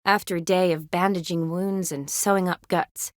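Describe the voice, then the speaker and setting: An actress playing a young woman army medic in a video game, caring, playful and briskly practical, with a natural, teasing delivery. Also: lowish voice, fairly even intonation, no room sound, clean studio sound, natural voice. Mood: wistful, tender. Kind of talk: video game character dialogue.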